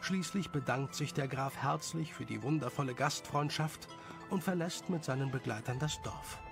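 A man's voice: medium pitch, moderate pace, creaky. A man narrating a scripted story in the present tense as its storyteller. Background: music.

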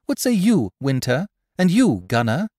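Man voicing a commanding young woman, pitched high. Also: very animated, a little slow, dry recording, clean studio sound. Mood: demanding. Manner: cool, blunt, quietly commanding, demanding. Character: commanding, level-headed young woman aboard a mutinous ship. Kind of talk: audiobook character voice, period seafaring speech.

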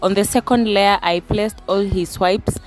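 A young woman talks viewers through tidying her room in an easygoing, chatty way, her voice sitting at a middle pitch. She restarts phrases now and then as she goes. It sounds like a phone recording made in a bedroom at home. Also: steady tone, a little slow, soft background music. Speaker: vlogger. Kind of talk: casual home vlog narration.

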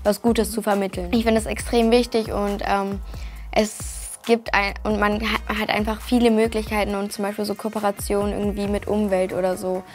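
A woman's voice: medium pitch, level tone, moderate pace, soft background music. A young actress chatting casually in an interview, earnest and feeling her way through her phrases. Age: teens or young adult. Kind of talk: casual on-camera chat. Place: small cosy indoor room.

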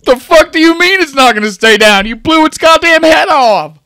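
A man playing a terrified, foul-mouthed man in a tabletop game, shouting frantically and shrilly. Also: very high voice, animated, moderate pace, voice pushed up, heavily acted. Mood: frantic disbelief. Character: panicking man yelling in terror, foul-mouthed.

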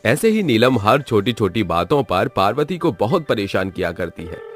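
A man's voice: on the low side, big pitch swings, normal speed, a bit bright, soft background music. A man giving scripted narration as the storyteller of a horror tale.

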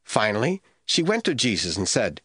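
A man's voice: lowish voice, wildly swooping pitch, normal speed, a bit bright, slightly rough, no room sound, clean studio sound. A man giving a scripted, dramatic narration of scripture as the narrator of an audio drama.

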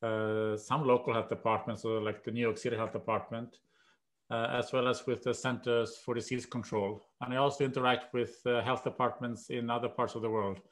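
A man in his sixties with a Swedish accent, a professor answering questions on a video call in a measured, hesitant way with many pauses and "uh"s. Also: medium pitch, little pitch movement. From Sweden. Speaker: guest professor. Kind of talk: conversational interview. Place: home room.

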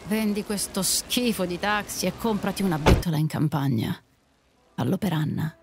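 A woman's voice: lowish voice, moderate pace, heavy background noise, close to an everyday voice. A woman acting a resolute, generous, blunt young lady passenger in an audio drama. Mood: resolute, gentle.

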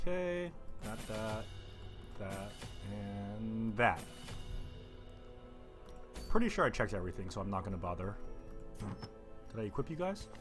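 A man's voice: on the low side, sing-song, measured pace, long pauses. A man giving casual, chatty let's play commentary, thinking aloud and joking at himself. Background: game music.

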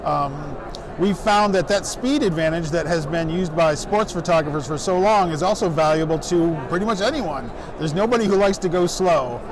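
A middle-aged man at a trade show booth explaining his company's photo software, talking steadily with frequent "um" fillers. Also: a little high. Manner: brisk explaining, many "um" fillers. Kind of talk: trade show product pitch. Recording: noisy trade show floor. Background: crowd chatter of the show floor.

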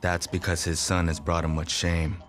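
An actor playing a stern, loyal gang enforcer in a video game, with a Japanese accent and a grave, formal, measured manner. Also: male voice, low-pitched, level tone, over music, lightly acted. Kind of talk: video game cutscene acting.

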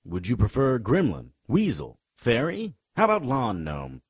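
An actor playing a sardonic, tough-talking private detective in a noir radio drama. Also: male voice, medium pitch, wildly swooping pitch, a little slow, breathy edge, no echo, clean studio sound, exaggerated voice. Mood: sardonic, threatening. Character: hard-boiled male private detective, sardonic and threatening.

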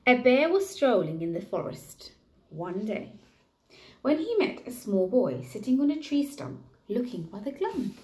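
Animated woman reading a storybook. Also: on the high side, a little slow. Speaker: teacher reading to pupils. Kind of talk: expressive storybook narration. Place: small room indoors, at a table. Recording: roomy home recording.

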